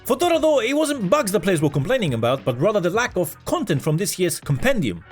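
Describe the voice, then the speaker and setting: A man's voice: high voice, big pitch swings, quick pace, slightly rough, faint music behind. A man hosting a scripted esports news video in a casual, sarcastic, jokey way with some swearing. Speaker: video host.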